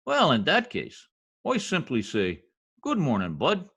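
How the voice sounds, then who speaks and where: A man's voice: fairly high pitch, huge pitch swings, a little slow, fairly bright tone, breathy edge, heavily acted. A man playing a cocky, bumbling middle-aged husband in a folksy, slangy, self-important way, in 1950s radio comedy style. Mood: confident, explaining.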